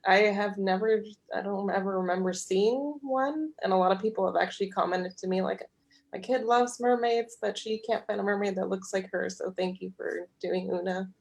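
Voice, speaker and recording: A woman author-illustrator chatting informally in an interview, thinking aloud in a halting way. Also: medium pitch, fairly even intonation, gravelly, darkish tone. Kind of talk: casual interview chat.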